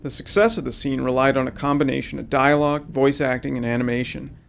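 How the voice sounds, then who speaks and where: A man's voice: mid-range voice, fast talker, gravelly, slight background noise. A man who makes games, delivering scripted commentary about design decisions. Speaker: game developer.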